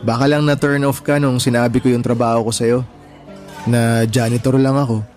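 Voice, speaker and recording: An actor playing a modest, insecure working man on a date in a radio drama, gentle and self-effacing, with a Filipino accent. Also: mid-range voice, flat delivery, normal speed, faint music behind, lightly acted. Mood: embarrassed. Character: modest, insecure janitor courting a woman.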